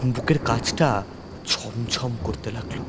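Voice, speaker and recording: A man's voice: medium pitch, slow pace, noisy background. A man narrating a scripted horror story in the first person, with a suspenseful, theatrical delivery. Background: music under the voice.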